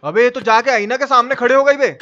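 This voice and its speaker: A man's voice: very high-pitched, fast talker, sharp tone, highs cut off, slight background noise. A man with an eastern Hindi, Bihari-style accent giving casual, slangy, exclamatory live commentary as a game streamer. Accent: eastern Hindi belt, Bihari-style.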